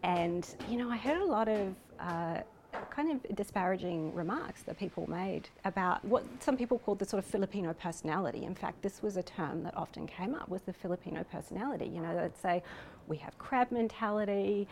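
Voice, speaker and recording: A woman's voice: mid-range voice, animated, a little fast, creaky, a little mellow, a little hiss or hum. A woman with a New Zealand accent, speaking thoughtfully and hesitantly as an interviewed researcher, with frequent you-knows. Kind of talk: reflective interview talk.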